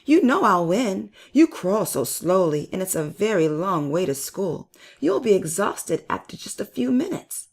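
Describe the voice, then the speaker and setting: A woman's voice: medium pitch, very animated, a little slow. A woman voicing a boastful, eager young male hare for a children's storybook. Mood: cocky, amused. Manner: bragging, exclamatory.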